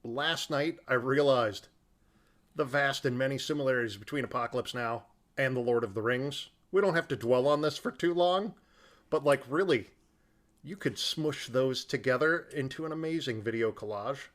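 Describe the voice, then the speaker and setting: A man's voice: medium pitch, normal speed, a bit bright. A middle-aged man co-hosting a podcast, chatting in a joking, slangy and emphatic way. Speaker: podcast co-host. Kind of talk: casual chat.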